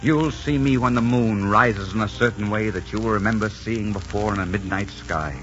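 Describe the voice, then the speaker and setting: An actor playing a cocky, teasing pirate lover in the theatrical, flirtatious manner of 1940s radio romance. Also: male voice, lowish voice, moderate pace, fairly bright tone, natural voice. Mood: bold farewell. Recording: lo-fi 1940s radio, music behind.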